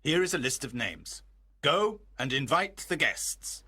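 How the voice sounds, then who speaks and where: A man's voice: medium pitch, very animated, unhurried, sharp tone, breathy edge, full character voice. A man playing a proud older lord in a storybook, speaking in a commanding, decisive manner. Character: proud older lord giving orders to servant. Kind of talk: storybook dramatization.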